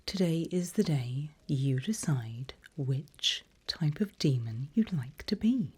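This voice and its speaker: Female ASMR demon guide: mellow, breathy whisper, unhurried, teasing and quietly menacing. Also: low voice, animated. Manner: slow, deliberate, playful menace. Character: seasoned female demon mentor, sly and teasing. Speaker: ASMR roleplay performer. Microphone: close ASMR microphone.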